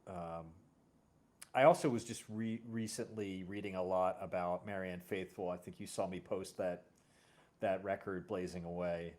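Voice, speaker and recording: A man's voice: low-pitched, fairly even intonation, moderate pace, a little mellow. A man hosting a casual conversation about music, talking in a rambling, self-correcting way. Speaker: host.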